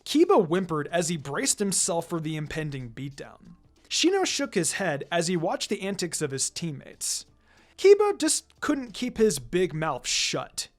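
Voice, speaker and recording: Animated story narrator over soft music. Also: mid-range voice. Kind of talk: expressive scripted narration of fan fiction. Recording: music behind the voice. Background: background music.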